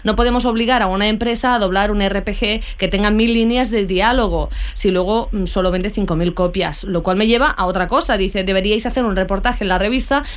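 A woman, co-hosting a podcast and briskly reading out listener comments. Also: mid-range voice. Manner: reads comments aloud, brisk and matter-of-fact. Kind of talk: casual podcast chat.

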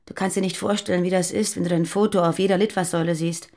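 A woman's voice: fairly low pitch, fairly even intonation, fast, a little mellow, lightly acted. Woman acting guarded, fearful fugitive woman, confiding. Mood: weary, confessing. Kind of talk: naturalistic radio drama acting.

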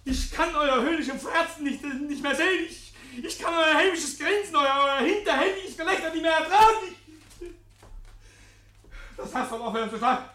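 An actor playing a deranged, guilt-ridden murderer in 1950s German radio drama, halting and repeating himself, given to breathless whispers and shrieks. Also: male voice, very high voice, lively intonation, sharp tone, heavily acted. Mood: hysterical, raging. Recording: noisy 1950s radio recording.

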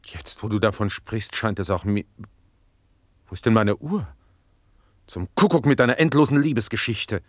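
An actor playing an impatient, querulous gentleman master in a radio play. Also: on the low side, moderate pace, long pauses, no room sound, natural voice. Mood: agitated. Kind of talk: German radio play acting.

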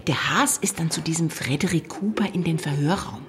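An actress playing a chatty, loyal police secretary in a radio crime drama, gossipy and confiding in manner. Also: female voice, deep voice, brisk, a little hiss or hum, natural voice.

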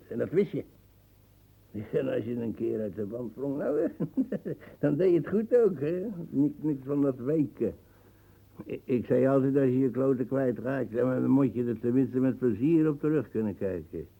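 Husky, gravelly old war veteran. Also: male voice, mid-range voice, normal speed, phone-quality sound. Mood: boastful nostalgia. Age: older. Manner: rambling, searching for words, coarse. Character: brutal boastful old male colonial war veteran. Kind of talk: radio drama acting, monologue reminiscence.

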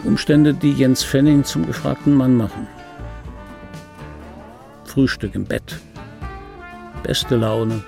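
A grumbly wry middle-aged guy telling his story in a radio drama, gravelly, dark relaxed voice. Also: mid-range voice, slow, pauses often, faint music behind. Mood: sardonic reminiscing. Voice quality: rough, gravelly. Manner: sardonic, conversational storytelling. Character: disgruntled, wry male film dubbing actor telling his life. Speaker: narrator and lead of a radio play. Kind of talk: scripted radio play monologue.

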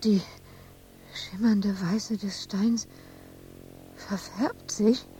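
An actress playing an anguished, exhausted, obsessive woman sculptor in a radio drama monologue, speaking in halting fragments that often sink into breathy whispers. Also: medium pitch, steady tone, dark tone, a little hiss or hum. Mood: feverish, urgent.

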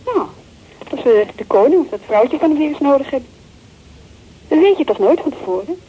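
A woman's voice: sits high, sing-song, fairly quick. An actress in a radio play as a chatty, teasing, scheming female office associate with a playful manner. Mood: probing, defensive. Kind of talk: Dutch radio play acting. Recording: dull lo-fi telephone scene, faint noise.

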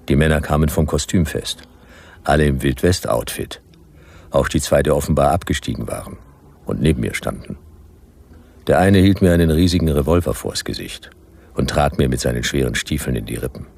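An actor playing a laconic, world-weary detective, telling his story in a dry, deadpan, gravelly voice in a radio drama. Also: a bass voice, exaggerated voice. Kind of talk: first-person radio drama narration.

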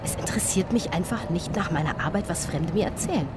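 A woman's voice: on the low side, lively intonation, quick pace, heavy background noise, natural voice. An actress playing a curt, aloof working woman in a radio play, dry and sceptical with a brusque manner. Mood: dry, dismissive. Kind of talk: German radio play dialogue.

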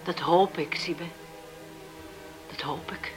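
A woman's voice: fairly low pitch, fairly even intonation, slow, over music. A woman playing a worried, middle-aged widowed farm mother in a Dutch radio drama, earnest and confiding. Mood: doubtful, hopeful. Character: worried widowed farm mother dreaming of settled married sons.

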